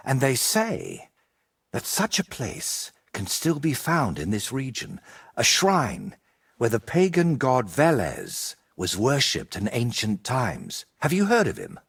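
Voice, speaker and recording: An actor playing an ambitious, vain medieval painter in a video game, speaking in an articulate, theatrical and persuasive way. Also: male voice, mid-range voice, huge pitch swings, slow pace, gravelly, breathy edge, full character voice. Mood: intrigued, storytelling. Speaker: painter character. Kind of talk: video game cutscene dialogue.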